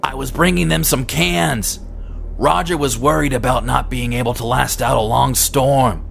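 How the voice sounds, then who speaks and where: Man acting gruff, shouting farmer, raised pitch. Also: steady tone, moderate pace, slight background noise. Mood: shouted, defensive. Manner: shouting, insistent. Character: gruff, agitated Depression-era farmer neighbour, man. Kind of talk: dramatised horror audiobook character.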